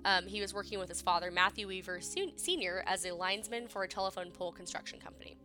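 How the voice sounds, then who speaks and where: A woman's voice: mid-range voice, fairly even intonation, quick pace, over music. A young woman co-hosting a casual podcast at home. She is recovering from a cold, sounds a bit sniffly, and chats loosely with lots of ums and laughs. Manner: chatty, laughs often, many ums. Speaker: podcast co-host. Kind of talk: casual true crime podcast chat. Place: at home, remote call.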